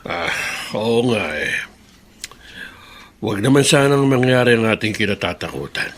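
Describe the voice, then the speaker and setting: A man's voice: fairly low pitch, animated, measured pace, a bit bright. A man playing a worried older father in a radio drama, who frets aloud about his son's troubles. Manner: fretful, anxious.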